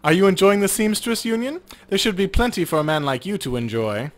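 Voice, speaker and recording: A man's voice: sits high, expressive, a little fast, pitched above the performer's own voice. A man voicing a proud, teasing woman who runs a bar in a video game, dropping in Japanese phrases. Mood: playful, commanding. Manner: teasing, self-possessed, peppered with Japanese phrases.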